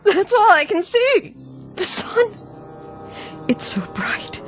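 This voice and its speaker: An actress playing a terrified, submissive small-town wife in a radio drama, tremulous, careful and pleading. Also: female voice, extremely high pitch, sing-song, takes it slowly, faint music behind, exaggerated voice.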